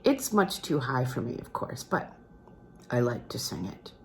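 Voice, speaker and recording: A woman's voice: a bass voice, very animated, unhurried, a little hiss or hum, pitched below the performer's own voice, exaggerated voice. A woman voicing a courteous, assured male concert singer and teacher in a novel reading, with a genteel, instructing manner. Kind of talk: storybook character reading from a literary novel.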